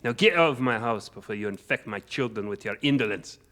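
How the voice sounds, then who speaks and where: A man's voice: fairly low pitch, animated, fairly quick, sharp tone. An actor playing a stern, self-righteous farmer father with formal speech in a fantasy audio drama. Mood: scornful. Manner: contemptuous, formal.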